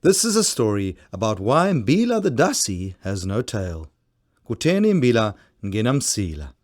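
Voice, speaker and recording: A man's voice: fairly low pitch, huge pitch swings, moderate pace, darkish tone. A man with a South African accent giving theatrical scripted narration of a children's folktale. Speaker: storyteller, narrator. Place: recording studio.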